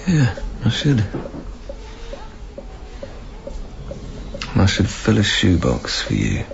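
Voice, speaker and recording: A man's voice: lowish voice, wildly swooping pitch, normal speed, music in the background, exaggerated voice. An actor playing a devoted, hopeful young father and former club DJ in a naturalistic radio drama, with a tender, playful manner. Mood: tender, wistful. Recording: dull lo-fi recording, clear background noise.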